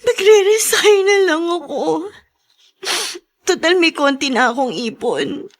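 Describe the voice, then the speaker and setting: A troubled younger sister in a radio drama. She is a grown woman who speaks earnestly and in a subdued way, with quiet determination. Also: high voice, lively intonation, unhurried. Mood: quietly determined. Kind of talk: Tagalog radio drama acting.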